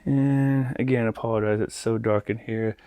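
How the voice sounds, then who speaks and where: A man with a fairly low voice guides viewers through an informal tour. He talks off the cuff and speaks to them like friends. The sound is dry, with little room echo. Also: steady tone, normal speed, warm tone, clear, resonant tone. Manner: informal, addressing viewers as guys. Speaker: tour guide and vlog host. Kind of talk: casual off-the-cuff walkthrough.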